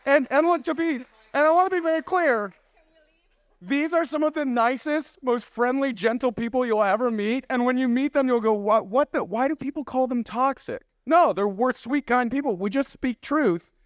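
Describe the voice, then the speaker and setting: A man's voice: extremely high pitch, moderate pace, no room sound. A man on a conference panel speaking in a profane, emphatic rant. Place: conference stage.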